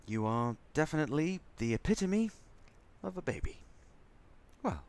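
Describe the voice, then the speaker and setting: A man's voice: on the high side, sing-song, moderate pace. An actor playing an exasperated, sarcastic, foul-mouthed crewman in a science fiction audio drama. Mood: grudging. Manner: sardonic, ranting.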